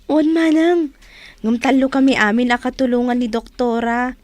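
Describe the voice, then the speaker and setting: A woman's voice: a little high, fairly even intonation, moderate pace, darkish tone, no room sound, close to an everyday voice. A woman playing an eager, polite younger sister in a radio drama, respectful and upbeat. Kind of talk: Philippine radio drama acting.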